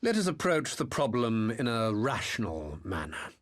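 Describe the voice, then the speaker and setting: A man's voice: mid-range voice, big pitch swings, normal speed, natural voice. A man playing a smug, theatrical former imperial officer and master engineer in a video game, mocking with grandiose courtesy. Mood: needling, persuasive. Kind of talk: video game cutscene acting.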